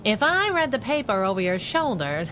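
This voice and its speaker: A woman's voice: mid-range voice, very animated, normal speed, background noise, lightly acted. An actress playing a wisecracking young female driver and sidekick in a radio drama. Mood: wry, teasing. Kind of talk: 1930s-set radio drama acting.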